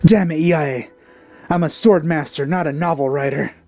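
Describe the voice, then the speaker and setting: A man's voice: on the high side, moderate pace, darkish tone. A man giving casual gameplay commentary with dry, wry asides, close on the mic. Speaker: let's play gamer. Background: game music.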